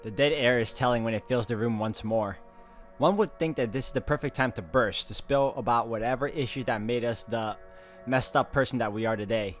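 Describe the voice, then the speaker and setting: A man reads visual novel narration aloud as a gaming host, in an informal and expressive manner. His voice sits at a middle pitch, with game music softly behind it. He slips easily between story text and casual remarks. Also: brisk. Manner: informal, expressive, stumbles while reading.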